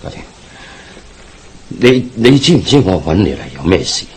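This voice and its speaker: Radio drama uncle: very deep, husky, placating, stammering, Hong Kong Cantonese accent. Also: male voice, very animated, moderate pace, heavily acted. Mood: placating, nervous. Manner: nervous stammering, anxious repetition. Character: frightened, guilt-ridden businessman uncle, flustered and placating.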